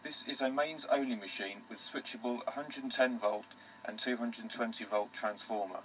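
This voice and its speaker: A man with a middle-pitched voice reads a test recording at an even, deliberate pace. He speaks into a small handheld microphone in a quiet indoor room, with a faint hiss behind him. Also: low-quality recording. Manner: steady, deliberate read-out of technical details. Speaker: tape recorder demonstrator.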